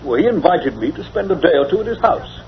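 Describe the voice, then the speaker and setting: Pompous English bachelor, highish swooping voice, formal and fussy, radio play. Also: male voice, big pitch swings, quick pace. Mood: aggrieved recounting. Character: indignant, fussy, sociable English bachelor gentleman. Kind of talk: old-time radio drama acting. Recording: dull lo-fi old radio recording.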